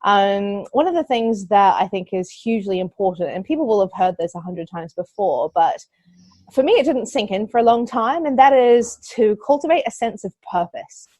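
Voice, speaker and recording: A woman in her thirties speaks with a New Zealand accent, earnest and thinking aloud, with plenty of ums. Her pitch sits mid-range for a woman. The sound is close and dry, with no room around it. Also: animated, moderate pace, dark tone, no echo. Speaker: guest, digital marketing agency owner. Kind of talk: podcast interview.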